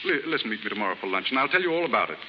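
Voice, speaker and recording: An actor playing a kindly, well-off novelist, polite and gently amused, in a 1930s radio drama. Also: male voice, lowish voice, big pitch swings, rapid-fire. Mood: apologetic. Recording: muffled, very noisy, reverberant 1930s radio recording.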